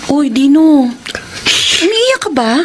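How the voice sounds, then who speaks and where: A woman's voice: sits high, big pitch swings, takes it slowly, darkish tone, slight background noise, full character voice. An actress playing a caring young woman who narrates her story and comforts a heartbroken friend in a radio drama. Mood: consoling. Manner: consoling, friendly. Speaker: narrator and letter sender. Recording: radio drama with music bed.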